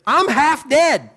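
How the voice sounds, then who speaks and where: A man, preaching a sermon on a church stage in a relaxed, joking, conversational way. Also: very high-pitched. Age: 30s. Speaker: pastor.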